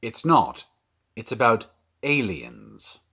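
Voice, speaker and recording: A diplomatic male producer in an audio drama, measured and even-tempered. His voice is husky and breathy, with a lively rise and fall. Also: mid-range voice, exaggerated voice. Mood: calm.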